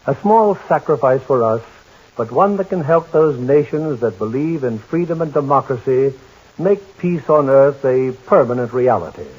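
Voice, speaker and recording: A middle-aged man hosting a 1940s radio drama broadcast, courteous and measured in a formal manner. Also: medium pitch, expressive, darkish tone, muffled recording, a little hiss or hum. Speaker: radio drama host and producer.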